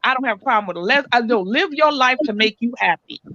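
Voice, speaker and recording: Woman, Jamaican accent, bright higher voice, dry dull lo-fi stream sound. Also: animated, fast talker, no room sound. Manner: emphatic, repeats "you understand what I'm saying". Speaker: guest. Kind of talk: casual radio chat.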